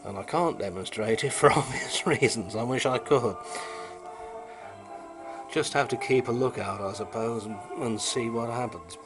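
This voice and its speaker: A man's voice: mid-range voice, moderate pace, loud music behind the voice. A British man casually talking through a repair, unscripted, in a rambling, wryly understated way. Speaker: hobbyist repairer and presenter. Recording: noisy amateur camera sound.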